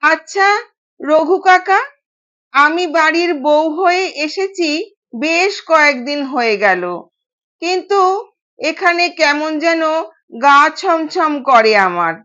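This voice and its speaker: A woman's voice: high-pitched, lively intonation, slow, long pauses, muffled recording, exaggerated voice. A woman voicing a kind young newlywed daughter-in-law in an audio story, polite and earnest. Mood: uneasy, curious. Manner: gentle, polite, quietly insistent.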